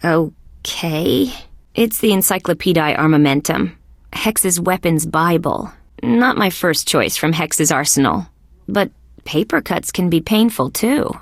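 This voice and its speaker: A woman playing a wry, skeptical young female police detective in a video game, with a deadpan, sardonic delivery. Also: fairly low pitch, unhurried, vocal fry, no echo, clean studio sound, exaggerated voice. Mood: dry, sardonic. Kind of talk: scripted game character lines.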